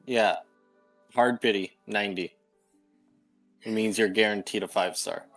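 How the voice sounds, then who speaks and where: A man's voice: lowish voice, fairly even intonation, measured pace, fairly bright tone. A man chatting casually as co-commentator on a game, with a dry, teasing manner. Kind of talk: casual gaming chat. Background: game music.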